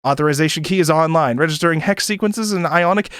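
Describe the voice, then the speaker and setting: Man putting on a high, formal computer boot-up voice. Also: fast, fairly bright tone, no room sound, studio quality, close to an everyday voice. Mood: robotic, procedural. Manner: clipped, procedural recitation of system status.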